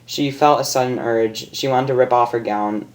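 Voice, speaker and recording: A man's voice: medium pitch, steady tone, normal speed. A man narrating a story as an amateur reader, often stumbling and repeating words. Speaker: fan fiction reader.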